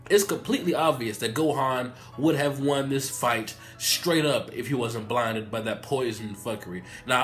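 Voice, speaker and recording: A man with a cold talks through a stuffy nose, mid-pitched and fired up as he rambles through an anime review full of swearing. Faint music plays quietly behind him. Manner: hyped, profane, rambling. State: sick, nasal. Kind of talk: excited casual review vlog.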